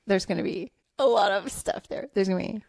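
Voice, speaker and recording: A woman's voice: medium pitch, fairly quick, creaky, a little mellow, no room sound, clean studio sound. A woman co-hosting a paranormal podcast, chatting casually and upbeat, laughing often. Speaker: podcast co-host.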